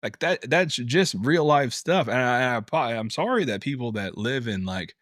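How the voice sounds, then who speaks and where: Animated young guy chatting on a podcast, swears a lot, dry mic. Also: mid-range voice, normal speed, no room sound. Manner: animated, laughs often, swears casually. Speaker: podcast co-host. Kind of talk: casual chat.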